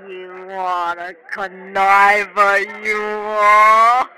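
A woman's voice: medium pitch, flat delivery, extremely slow, soft background music, full character voice, rough recording. An actress playing a tipsy, weepy London tavern woman in 1950s radio drama style. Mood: coy. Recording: sharp vintage 1950s radio recording.

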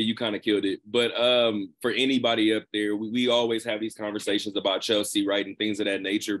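Man, lowish voice, sports reporter, casual questioning. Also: a bit bright. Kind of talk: casual press-conference questioning.

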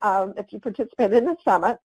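Halting woman presenting a webinar, dry sound. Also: medium pitch, a little mellow, breathy edge, no echo, low-quality recording. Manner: halting, with frequent "um" fillers. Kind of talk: online webinar presentation over slides.

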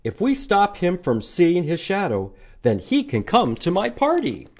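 A man voicing an excitable, earnest storybook bunny with emphatic delivery. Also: sits high, a little slow, voice pushed up, heavily acted. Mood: worried, earnest. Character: excitable young bunny pointing out problems.

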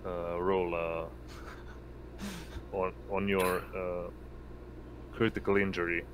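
A man running a tabletop game as game master, narrating in a halting way. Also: low voice, flat delivery. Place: indoor online voice session. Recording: noisy home recording, constant background hum.

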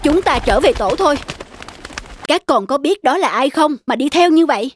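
A woman's voice: high-pitched, animated, moderate pace, background noise. A woman voicing a protective mother starling in a cartoon fable, scolding and instructing. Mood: stern. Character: protective mother starling, female adult bird, sternly loving. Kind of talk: animated fable dubbing. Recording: children's music behind the voice.

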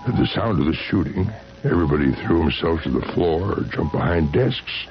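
A man acting in a 1970s radio drama as a shy, earnest, lovestruck engineer, speaking haltingly and eagerly. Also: sits low, lively intonation. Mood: wistful recollection. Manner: halting, eager, repeating himself. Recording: dull vintage radio recording with music.

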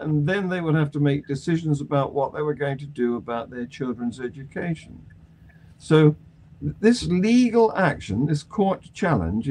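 An older British man leads a news discussion on a video call. His voice sits a little high for a man and has a soft, mellow tone. He phrases things deliberately and conversationally. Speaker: panel discussion host. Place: small attic room at home.

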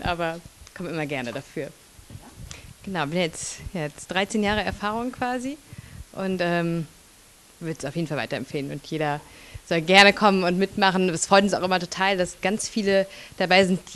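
A woman's voice: on the low side, a bit bright. A woman who leads a youth media workshop, talking enthusiastically in an interview with many fillers. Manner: enthusiastic, filler-heavy chat. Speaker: workshop leader. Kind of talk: interview answer.

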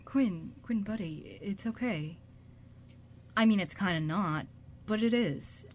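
A woman playing an earnest, reassuring young man in an amateur radio drama, speaking haltingly and with emotion. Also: medium pitch, darkish tone, a little hiss or hum, lightly acted. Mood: soothing. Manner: halting, stammering, emotional delivery.

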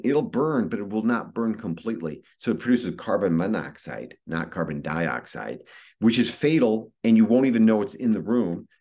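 A man's voice: fairly low pitch, darkish tone. A middle-aged man with an American accent giving an informal, explanatory talk on a video call, conversational and repeating phrases for emphasis. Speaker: guest expert giving a presentation. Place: indoor room, video call. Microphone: video call microphone.

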